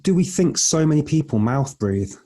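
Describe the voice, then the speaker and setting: Mellow man prompting with brisk questions. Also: on the high side, lively intonation. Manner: agreeable, quick prompting questions. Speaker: podcast host. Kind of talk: conversational interview.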